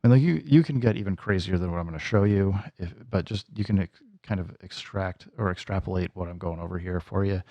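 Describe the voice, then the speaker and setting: A man's voice: low voice, fast talker, no echo, dull audio. A man casually teaching music gear hands-on, thinking aloud informally as he demonstrates. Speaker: music gear tutorial host. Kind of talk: casual hands-on software tutorial.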